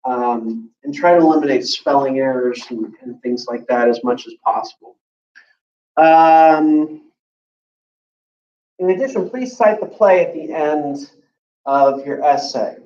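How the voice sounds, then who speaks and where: An American man giving an informal classroom explanation as a college instructor, easygoing and hesitant, pausing mid-sentence. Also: on the high side, a little mellow. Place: classroom with students. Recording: echoey room, distant muffled mic.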